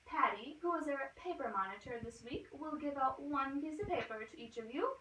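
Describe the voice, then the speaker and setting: A woman's voice: high-pitched, lively intonation, brisk, fairly bright tone, pitched above the performer's own voice. A woman voicing a strict storybook woman classroom teacher who announces rules in an admonishing way. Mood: strict, announcing. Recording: roomy, distant microphone, faint noise.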